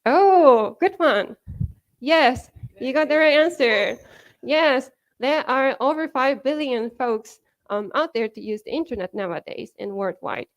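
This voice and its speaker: Japanese woman talking at a tech conference, kinda high voice, lots of "like" and "you know". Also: animated, unhurried. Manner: chatty, many fillers like "you know" and "like", laughs often. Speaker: conference speaker. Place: conference hall.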